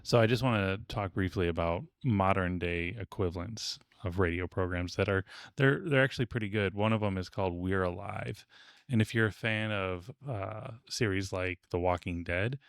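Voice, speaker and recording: Male podcast co-host, low clean resonant voice, conversational round-table style. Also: moderate pace, creaky, no echo, studio quality.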